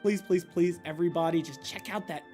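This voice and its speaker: High-energy male stream host, slightly hoarse, fast stammering shout-outs over faint game music. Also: steady tone. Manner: hyped, rapid shout-outs with frequent stammered restarts. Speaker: tournament host and commentator. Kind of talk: energetic esports stream commentary.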